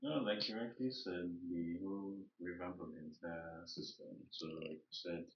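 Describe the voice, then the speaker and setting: A man with a Nigerian accent, a podcast producer, making halting points in casual discussion. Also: deep voice. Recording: dull audio with faint noise.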